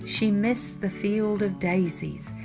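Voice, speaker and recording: An older woman narrates a picture book for young children at a very unhurried pace. Her pitch sits in a middle range, and she phrases each sentence deliberately and expressively. Music plays clearly behind her. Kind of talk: read-aloud storytime narration. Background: background music.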